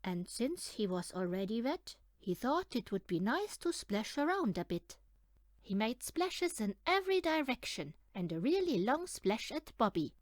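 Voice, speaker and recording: Lady reading a bedtime picture book, highish voice, takes her time, very expressive. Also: no room sound, studio quality. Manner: animated, deliberate storytelling for young children. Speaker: audiobook reader. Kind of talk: expressive read-aloud of a children's picture book.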